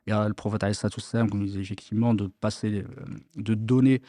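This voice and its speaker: A man hosting a religious discussion show. He speaks with a mellow, dark tone and frequent restarts. His voice is close and dry, with no room sound. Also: lowish voice, fairly even intonation, very fast, no echo. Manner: hesitant, many restarts, peppered with Arabic blessings. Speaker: host of an Islamic radio show. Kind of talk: religious discussion, asking questions.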